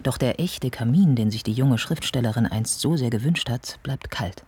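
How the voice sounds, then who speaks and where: A man acting as the sober narrator of a radio drama, with measured, literary, matter-of-fact delivery. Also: medium pitch, darkish tone, breathy edge. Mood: sober, observing. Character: sober male narrator observing an ageing writer's life.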